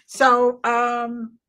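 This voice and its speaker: Slow-talking woman in her sixties. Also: fairly high pitch, fairly bright tone. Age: 60s. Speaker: host. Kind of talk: casual interview chat.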